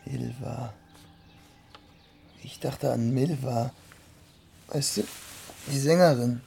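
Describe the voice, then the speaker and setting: Halting, creaky-voiced young man. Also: mid-range voice, warm tone. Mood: dazed. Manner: halting, short unsure answers. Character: hesitant, uneasy young male driver, guarded and dreamy. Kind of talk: radio drama acting. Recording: noisy ambience of car and street. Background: vehicle noise.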